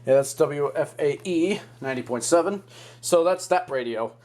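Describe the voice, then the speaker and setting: A young man hosting a casual hobby show-and-tell, with an excitable manner and a crude, joking streak. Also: a little high, normal speed, over music. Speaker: video host.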